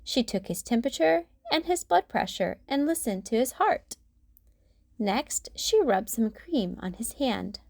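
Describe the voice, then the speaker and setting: A woman narrating a children's storybook as a read-aloud host, in a deliberate storytelling manner. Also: a little high, very animated, vocal fry, a little mellow, no room sound, studio quality.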